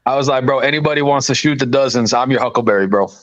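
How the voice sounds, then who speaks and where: A man in his late thirties on a podcast, casually telling stories and building up to loud punchlines. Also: medium pitch, very fast, dry recording. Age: 30s. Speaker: podcast host. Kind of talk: casual banter.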